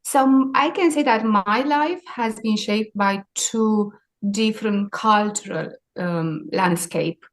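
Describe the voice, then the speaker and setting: A woman's voice: medium pitch, slow. A woman in her forties with a Romanian accent, answering interview questions in a reflective, hesitant way. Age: 40s. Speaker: interview guest, maritime sustainability leader.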